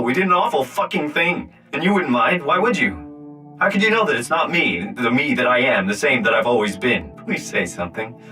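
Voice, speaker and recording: A weary North American man in a video game, troubled and brooding. His low voice has a bright, forceful edge and a conversational delivery. It sits a little away from the mic, with music underneath. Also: recorded from a distance. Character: weary, distressed man uprooted into a robot body. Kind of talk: naturalistic video game acting.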